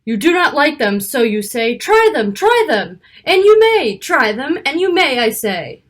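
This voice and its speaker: A woman reading a rhyming children's picture book aloud in an expressive, rhythmic way. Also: high voice, measured pace. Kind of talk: animated children's story read-aloud.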